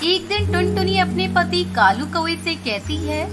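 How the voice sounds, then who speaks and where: A woman's voice: very high voice, lively intonation, a little slow, over music. A woman narrator reading a scripted story for children.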